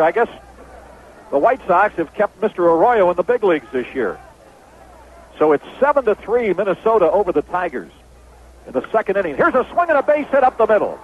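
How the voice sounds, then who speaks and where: Tinny vintage radio baseball announcer, high-pitched man. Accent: American. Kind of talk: 1970s radio sports commentary. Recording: noisy phone-band vintage radio broadcast.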